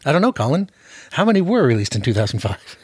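A man's voice: medium pitch, sing-song, quick pace, husky, no room sound, clean studio sound. A man chatting casually about films in a wry, joking way. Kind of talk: casual film discussion.

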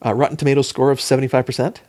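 A man's voice: medium pitch, little pitch movement, racing, a little mellow, no echo. A man hosting a casual film discussion, bantering and giving firm opinions. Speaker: podcast host.

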